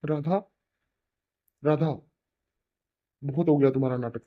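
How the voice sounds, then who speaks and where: Cold, sarcastic young cartoon husband, fairly high voice, dull recording, played by a man. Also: fairly even intonation, a little slow, lightly acted. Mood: cold, irritated. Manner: reproachful, sarcastic. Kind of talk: melodramatic Hindi cartoon voice acting.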